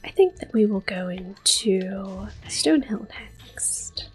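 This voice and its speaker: A woman in her thirties giving soft-spoken ASMR gameplay commentary, often slipping into a whisper, close to the mic. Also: mid-range voice, lively intonation, slow pace, slightly rough, soft background music, low-quality recording. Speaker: ASMR gaming channel host.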